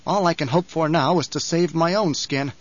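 A man's voice: on the high side, normal speed, no echo, close to an everyday voice. A man voicing a young, scheming heir in an audiobook, deferential and uneasy beneath courtly composure. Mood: tense submission. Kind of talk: audiobook character voice. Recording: slightly dull, lo-fi audio.

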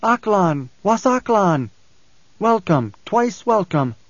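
A man voicing a small boy prophet in an audiobook, ancient beyond his years, speaking with calm, formal assurance. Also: fairly high pitch, moderate pace, dry recording, highs cut off. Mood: hailing.

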